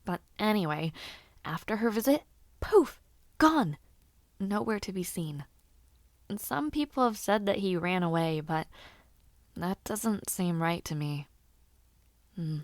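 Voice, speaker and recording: A woman's voice: on the low side, slow pace, pauses often, no echo, clean studio sound. A woman playing a teasing, gossipy young noblewoman. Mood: chatty. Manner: casual, teasing and animated, with frequent laughs. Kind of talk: audio roleplay addressed to the listener.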